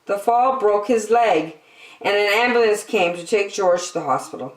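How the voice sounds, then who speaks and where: A woman reading a children's picture book aloud in an expressive, dramatic storytelling style, in an echoey room. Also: mid-range voice. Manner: dramatic, with emphatic slowed phrases.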